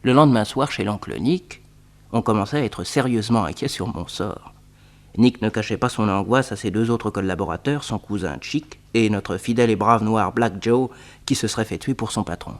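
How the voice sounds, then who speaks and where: A man plays a young detective recounting his own adventure. The voice is on the low side. It is scripted storytelling for a radio crime serial. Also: moderate pace, lightly acted. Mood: narrating. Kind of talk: scripted radio drama narration.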